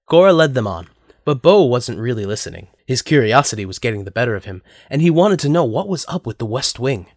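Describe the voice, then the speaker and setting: A man's voice: mid-range voice, very animated, fast, no room sound, clean studio sound. A man narrating a scripted story as the narrator of an audio drama.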